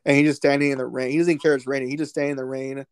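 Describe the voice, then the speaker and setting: Man, podcast co-host, casual film talk, rambling, flat pitch, dry recording. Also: very fast, clean tone, dry recording. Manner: excited, rambling with many restarts and fillers. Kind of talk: casual movie discussion.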